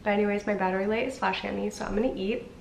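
A young woman in her twenties talks to her camera from a living-room couch, her voice nasal and congested from a cold. She rambles casually, leans on "like" a lot and lets some sentences fade out softly. The room gives her voice a noticeable echo, with a faint hiss behind it. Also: mid-range voice, steady tone, very fast, gravelly. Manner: chatty, lots of "like" fillers, sometimes trails off quietly. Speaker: vlogger. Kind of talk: casual vlog chat to camera. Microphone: camera in front at sitting distance.

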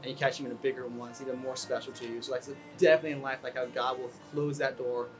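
A young man talking casually about fishing in an interview, relaxed and full of fillers, sitting on an outdoor balcony. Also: mid-range voice, rapid-fire. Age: young adult. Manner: relaxed, many fillers, gets excited. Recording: guitar music underneath.

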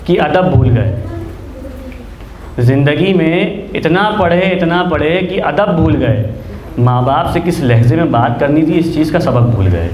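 A man in his twenties reciting spoken-word poetry in a declamatory way, repeating lines for emphasis, into a stand mic at an open mic. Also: on the high side. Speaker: amateur poet, open mic performer. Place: small indoor open mic venue. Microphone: handheld-type mic on a stand, close. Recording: echoey room with background noise.

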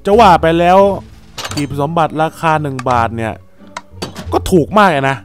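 A man narrating and voicing his own character in a voiced game story, excitable and full of exclamations. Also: on the high side, moderate pace, faint music behind. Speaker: gaming channel host and voice actor.

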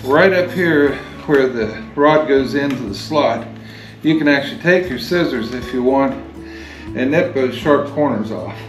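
A retired man in his sixties talks through a workshop project step by step, in a plain, unhurried voice at an ordinary middle pitch. His voice echoes around a garage, and guitar music plays clearly behind him. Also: lively intonation. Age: 60s. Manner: plain, unhurried step-by-step explaining while working. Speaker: DIY workshop host. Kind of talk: hands-on how-to demonstration.

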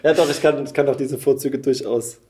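A man's voice: medium pitch, fairly even intonation, a little fast, mellow. A man hosting a podcast, chatting casually as he interviews his guest. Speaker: podcast host.

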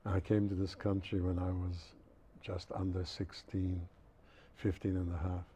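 Older male guest, German his first language; deep mellow tone, dry wit, studio interview on a lapel mic. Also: level tone, measured pace, slight background noise. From former Yugoslavia. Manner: dry, precise and witty. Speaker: guest critic. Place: dark TV studio set.

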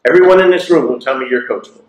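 A man's voice: mid-range voice, racing. A man in his sixties giving a motivational keynote speech through a lapel mic, emphatic and repeating his key phrases. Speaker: keynote speaker. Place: large lecture hall. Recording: echoey lecture hall.

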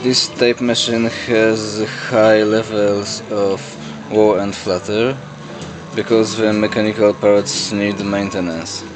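A man narrating a step-by-step technical demonstration in halting, deliberate phrases, recorded indoors on a camera microphone. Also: fairly low pitch, level tone, music in the background, poor audio. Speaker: host of an electronics demo video. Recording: clear background noise on amateur camera audio.

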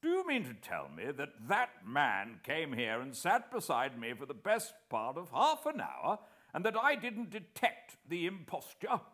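Man acting a loud, indignant blustering general. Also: fairly high pitch, wildly swooping pitch, unhurried, hardly any pauses, voice pushed up, exaggerated voice. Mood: indignant disbelief. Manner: blustering, emphatic.

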